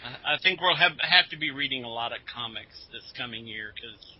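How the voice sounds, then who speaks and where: A man's voice: medium pitch, lively intonation, brisk. A man from the United States co-hosting a podcast in casual group chat, with a dry, joking manner. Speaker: podcast co-host. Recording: dull, slightly muffled lo-fi audio.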